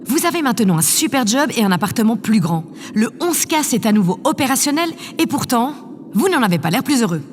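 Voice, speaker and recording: A woman's voice: fairly high pitch, very animated, brisk. Imperious female president, played by a woman, swooping intonation, condescending, theatrical, big reverberant hall. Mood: patronising. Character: imperious, self-absorbed, patronising female president. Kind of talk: scripted audio drama acting. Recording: long hall reverb, faint noise. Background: faint ambient noise.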